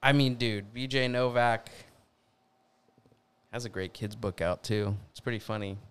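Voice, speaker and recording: Podcast co-host, smooth clear voice, mid pitch, easygoing. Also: male voice, a little slow, a bit bright. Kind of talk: casual chat.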